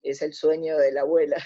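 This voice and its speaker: A woman in her sixties with a Buenos Aires Spanish accent reminisces conversationally as an interview guest, telling stories expressively with searching pauses. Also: low voice, dark tone. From Argentina. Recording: dull lo-fi audio.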